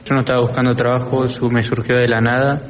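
An Argentine teenage boy gives plain interview answers with very little rise and fall. His voice is mid-pitched. The classroom recording is muffled and reverberant. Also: level tone, fast talker. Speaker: student interviewee. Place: school classroom. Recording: dull, echoey classroom recording.

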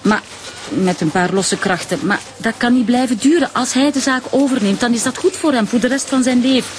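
A woman's voice: fairly high pitch, a little fast, slight background noise, lightly acted. An actress playing a proud, middle-aged widowed Turkish shopkeeper and protective mother in a radio drama, emphatic and indignant. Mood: weary, firm. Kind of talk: naturalistic radio drama acting.

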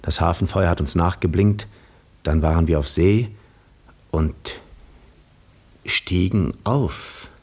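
An actor playing a weary, dreamy seafarer who narrates his own voyage in measured, literary 1950s German radio drama style. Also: low voice, darkish tone, breathy edge, full character voice. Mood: wondering, drowsy.

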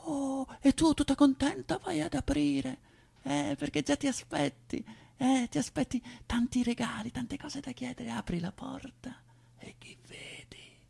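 A woman's voice: high voice, a little slow, vocal fry, full character voice. A woman acting a mocking, cruel inner voice that accuses insistently and repetitively in a theatrical radio monologue. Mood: ominous hushed. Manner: insistent, repetitive, swinging from whisper to shout.

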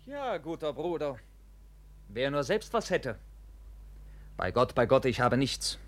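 An actor playing a proud, brooding, penniless young knight in a classical radio drama, with wry, formal diction. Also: male voice, mid-range voice, very animated, unhurried. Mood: weary, wry.

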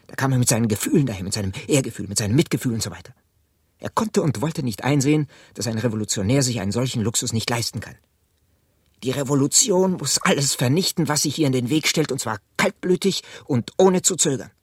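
Husky, ruthless young revolutionary. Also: male voice, mid-range voice, expressive, fast talker, dry recording, clean studio sound. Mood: fierce scorn. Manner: scornful, emphatic. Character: ruthless young Russian revolutionary, cold and contemptuous. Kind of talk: 1970s German radio drama acting.

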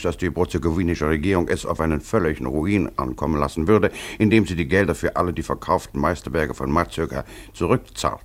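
An actor playing a suave, grasping English gentleman blackmailer in a radio play, speaking with formal, measured condescension. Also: deep voice. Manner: formal, deliberate, measured phrasing.

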